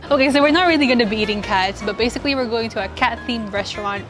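A woman's voice: fairly high pitch, fast talker, over music. A young woman hosting a casual food vlog, chatting upbeat while tasting dishes in a cafe on a lapel mic. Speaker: food vlogger host. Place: small cafe indoors and street outdoors.

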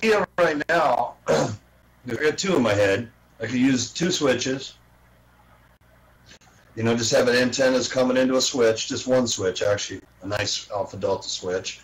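Man, mid pitch, informal rambling hobbyist in an online group chat. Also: a little slow, poor audio. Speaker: CB radio hobbyist. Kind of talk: casual chat.

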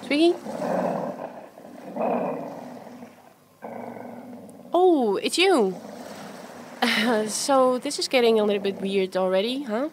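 A woman's voice: a little high, animated, a little slow, slight background noise. A woman playing a friendly, chatty, self-doubting young woman in an amateur radio play, with a hesitant, natural delivery. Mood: surprised, friendly.

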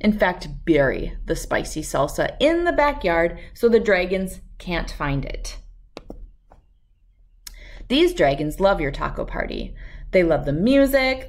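A woman in her thirties reading a children's storybook aloud with expressive, emphatic delivery and dramatic pauses. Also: medium pitch. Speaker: read-aloud host.

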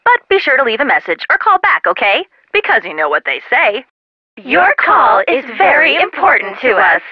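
High, chirpy voicemail girl. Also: sing-song, moderate pace, no echo, highs cut off. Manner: chirpy, playful. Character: bubbly young woman's answering machine greeting. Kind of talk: voicemail greeting in a video game.

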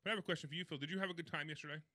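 A man co-hosting a casual radio show, talking quickly with a playful, teasing manner. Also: on the high side, fairly even intonation, sharp tone, dry recording. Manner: fast, jokey, playful teasing.

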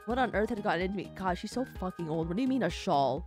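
A woman's voice: mid-range voice, animated, fast. A woman streaming casually, reading a visual novel aloud and reacting with blunt, sweary exclamations. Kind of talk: casual co-op stream chat and read-aloud narration. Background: soft game music.

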